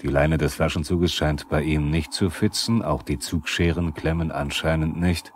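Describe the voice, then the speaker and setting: An actor playing a sober, probing narrator who addresses the characters in a 1970s radio drama. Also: male voice, a bass voice, fairly even intonation, moderate pace. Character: probing male narrator, the characters' inner questioner. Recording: noisy 1970s vinyl radio play.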